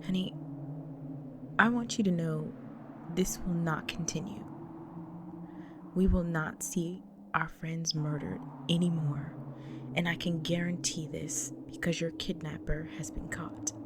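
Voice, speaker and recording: A woman playing a grave, devoted vampire wife in an intimate audio roleplay, speaking solemnly to the listener in an often breathy, whispered delivery. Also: deep voice, steady tone, a little slow, long pauses, background noise. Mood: solemn, resolute.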